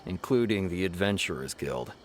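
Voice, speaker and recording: A man's voice: low-pitched, lively intonation, moderate pace, heavy background noise. A man playing a stern, exasperated military officer in a video game, explaining formally and earnestly. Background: game music.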